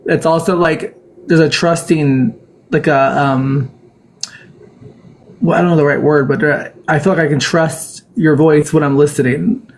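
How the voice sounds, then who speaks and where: A woman's voice: sits low, fairly even intonation, measured pace, poor audio. A woman hosting a casual live chat who asks long, rambling questions and talks encouragingly to her guest. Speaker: host of a live panel.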